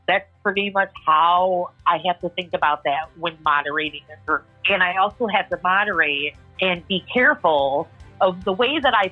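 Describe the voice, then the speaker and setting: Woman with a lowish voice telling it like it is, muffled phone audio, faint music under. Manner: frank, tells it like it is. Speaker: patient sharing her story. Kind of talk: personal testimony, reflective.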